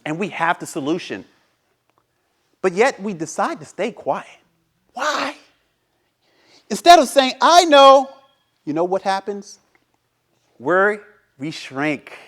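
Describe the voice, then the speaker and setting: A man whose first language is Haitian Creole, giving a prepared inspirational speech as a guest at a speaking club, turning between loud and hushed and asking rhetorical questions. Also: a little high.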